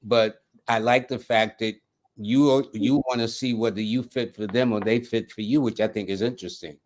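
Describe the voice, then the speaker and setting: A man's voice: fairly low pitch, fairly even intonation, moderate pace. A man hosting an interview, asking rambling, conversational questions. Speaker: podcast host.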